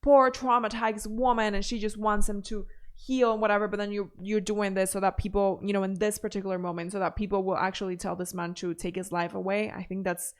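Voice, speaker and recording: Woman host, mid pitch, chatty commentary voice with running asides. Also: little pitch movement, brisk. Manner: chatty, reads posts aloud with asides. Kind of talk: drama commentary reading posts.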